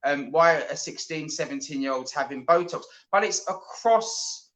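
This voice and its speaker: A man's voice: fairly high pitch, moderate pace, a bit bright, rough recording. A man from London, a guest on a live chat, talking in an opinionated, joking, anecdotal way.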